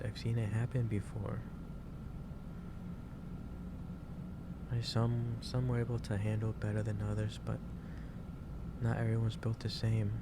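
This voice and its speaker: A man playing a gentle, concerned office boss in an ASMR roleplay, speaking haltingly and repeating his phrases. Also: on the low side, heavy background noise, natural voice. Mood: earnest concern. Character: gentle, concerned male office boss lecturing an overworked employee. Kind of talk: improvised ASMR roleplay addressed to the listener.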